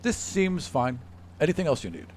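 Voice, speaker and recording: A man playing a haughty, contemptuous demigod in a measured, condescending manner for a tabletop game. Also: a little high, sing-song, darkish tone, slight background noise, pitched above the performer's own voice. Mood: grave, resolute.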